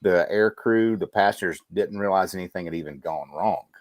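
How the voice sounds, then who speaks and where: A man's voice: sits low, a little fast, no echo, studio quality. A man talking casually as a podcast co-host in a panel discussion.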